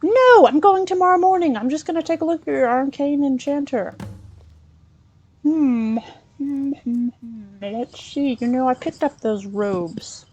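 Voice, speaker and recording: A woman's voice: high-pitched, unhurried, a little mellow, highs cut off. A woman doing an improvised in-character let's play, chatty and hesitant as she thinks aloud. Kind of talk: in-character improvised gameplay roleplay and commentary.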